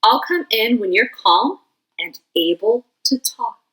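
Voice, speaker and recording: A woman's voice: fairly high pitch, lively intonation, takes it slowly, fairly bright tone, poor audio. A woman voicing a patient, loving grandfather in a children's storybook. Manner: patient, firm.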